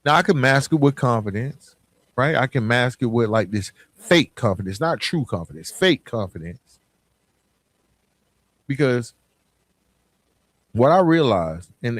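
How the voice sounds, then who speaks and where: A man's voice: on the low side, no room sound. American man, dry close podcast sound. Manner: emphatic, colloquial, addresses listener directly with rhetorical questions. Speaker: podcast host. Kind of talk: motivational podcast monologue, casual and conversational.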